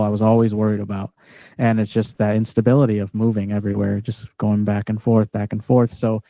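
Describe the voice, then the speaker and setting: A man's voice: medium pitch, dark tone, no echo. Reflective man, dry close sound, native Spanish speaker. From California, partly raised in Mexico. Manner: reflective, searching, frequent restarts. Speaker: podcast host. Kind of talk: casual podcast conversation.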